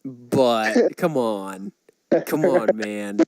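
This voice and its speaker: A man's voice: mid-range voice, very animated, extremely slow, a crowd in the background. A man co-hosting a podcast, talking casually in a conversational way. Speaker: podcast co-host. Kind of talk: casual chat about movies and games.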